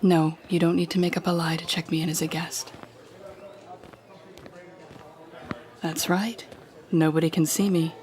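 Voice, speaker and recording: A woman's voice: lowish voice, little pitch movement, fairly quick, a little mellow, slight background noise, lightly acted. A woman playing a cold, commanding telepathic villain who taunts a captive hero in an intimate audio roleplay. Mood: smug. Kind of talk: intimate second-person audio roleplay acting.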